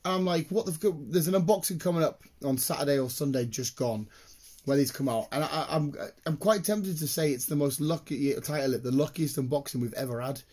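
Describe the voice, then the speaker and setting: A British man chatting casually in a rambling, excitable, sweary way, with a slightly croaky voice. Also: on the high side, fairly quick. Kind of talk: casual chat, rambling anecdotes.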